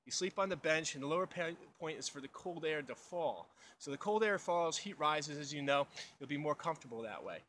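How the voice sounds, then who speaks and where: A man's voice: fairly high pitch, expressive, a little fast, a bit bright, a little hiss or hum. A young man explaining outdoor survival in a casual, rambling way with many fillers, recorded outdoors in snowy woods on a camera mic a few meters away. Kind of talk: unscripted how-to explanation. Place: outdoors in snowy woods beside a snow cave.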